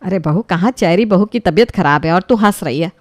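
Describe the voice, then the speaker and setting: A woman's voice: lowish voice, lively intonation, a little fast, natural voice. A woman voicing a naive older mother-in-law in a comic cartoon, exclamatory and easily puzzled. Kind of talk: Hindi comic cartoon acting.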